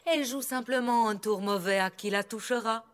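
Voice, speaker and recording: An actress voicing a mysterious, dignified zebra herbalist in a French cartoon dub, speaking formally and theatrically. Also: female voice, a little high, clear, resonant tone, natural voice. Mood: calm, explaining. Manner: formal, measured, theatrical, cryptic. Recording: music behind the voice.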